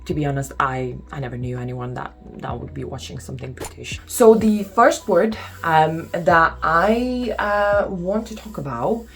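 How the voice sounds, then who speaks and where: A woman's voice: sits low, expressive, moderate pace, creaky, few pauses, a bit bright. A young woman from Lithuania with a Lithuanian accent, chatting casually to camera in a home vlog, with lots of ums and exasperated asides. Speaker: vlogger. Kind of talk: casual talking-head vlog.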